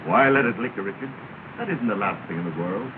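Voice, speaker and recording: A man playing a kindly, genteel older gentleman in a 1930s film drama. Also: fairly low pitch, expressive, a little fast, close to an everyday voice. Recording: scratchy, tinny 1930s film soundtrack.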